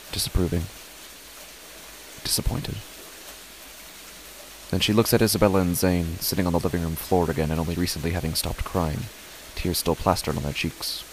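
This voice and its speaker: An uneasy dad narrating a horror story, really low voice, measured, pauses a lot, bit of hiss. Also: male voice, low-quality recording. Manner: deliberate, with dramatic pauses. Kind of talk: scripted first-person horror narration.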